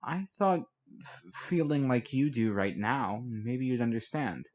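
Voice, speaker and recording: A man reads as a moody teenage girl in an audiobook. The delivery is halting and slangy, full of self-doubt, like confiding in a close friend. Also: mid-range voice, expressive, a little mellow, natural voice. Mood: troubled, confessional.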